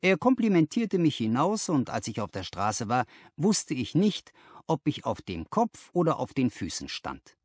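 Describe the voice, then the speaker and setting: A man's voice: mid-range voice, wildly swooping pitch, unhurried, no echo, studio quality. A man reading a story aloud as an audiobook narrator, in scripted first-person narration.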